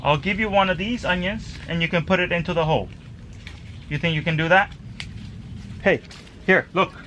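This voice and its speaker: A man from El Salvador, in his thirties, talks through a casual garden vlog outdoors. His voice sits a little high. He shifts between explaining his planting and gently coaxing a toddler. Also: normal speed, muffled recording, a little hiss or hum. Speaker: home gardener vlogger.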